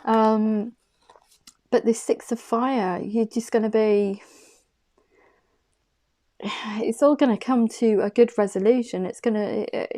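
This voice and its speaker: A woman's voice: on the high side, fairly even intonation, slow pace, long pauses, dark tone. A woman giving an intuitive tarot reading, chatty and effusive, thinking aloud as she turns the cards. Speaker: tarot card reader.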